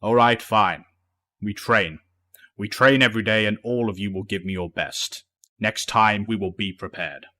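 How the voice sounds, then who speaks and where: A man playing a stern, protective professor and mentor in an audio drama, speaking in a measured, formal, authoritative way. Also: on the low side, pushed and bright.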